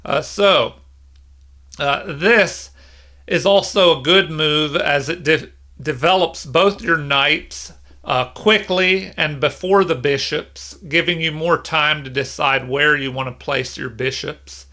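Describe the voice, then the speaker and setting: A middle-aged man giving an unscripted tutorial as an instructor, explaining things in a measured way. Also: fairly high pitch, bright, forceful tone. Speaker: chess instructor. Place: small room indoors at home.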